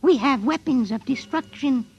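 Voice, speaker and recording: A woman playing a tiny alien doll invader in a 1950s radio drama, speaking in haughty, deliberate, formal phrases with cool menace. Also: sits high, a little mellow, slightly rough, lo-fi sound. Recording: vintage 1950s radio, music underneath.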